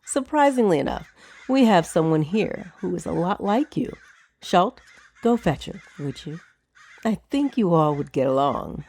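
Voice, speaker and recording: A woman's voice: fairly low pitch, big pitch swings, a little slow, a little mellow, exaggerated voice. An actress playing a poised, wry noblewoman of a wizard's tower in a fantasy audio drama. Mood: hospitable. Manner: dry, amused, composed.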